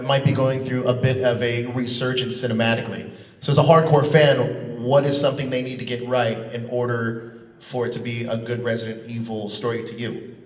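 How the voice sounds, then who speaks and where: A man moderating a convention panel, posing interview questions to guests. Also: mid-range voice. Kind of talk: interview questions, reading out audience questions. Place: large convention panel hall. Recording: echoey hall, distant PA sound.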